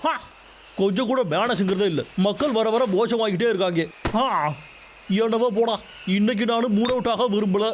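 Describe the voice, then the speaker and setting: A man's voice: sits high, normal speed, a little hiss or hum, natural voice. An actor voicing a vain, balding cartoon man who grumbles and gloats. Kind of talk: cartoon dub acting.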